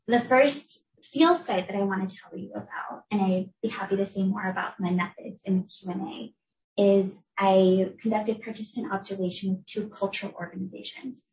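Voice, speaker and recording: A young woman from the United States giving an academic talk as a researcher, explaining in a clear, measured way. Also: mid-range voice, a little mellow. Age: young adult. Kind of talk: academic lecture. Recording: echoey room, voice from a distance.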